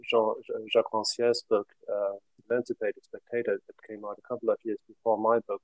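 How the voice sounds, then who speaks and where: A middle-aged man from Germany, an academic guest, giving reflective and measured interview answers that trail off at the ends of phrases. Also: mid-range voice, warm tone, no echo, poor audio.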